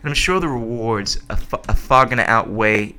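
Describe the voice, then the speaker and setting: A man's voice: medium pitch, expressive, normal speed, pushed and bright, clean tone. A man hosting a podcast, chummy and slangy, often calling his guest "bro". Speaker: podcast host. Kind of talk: casual interview. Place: podcast studio.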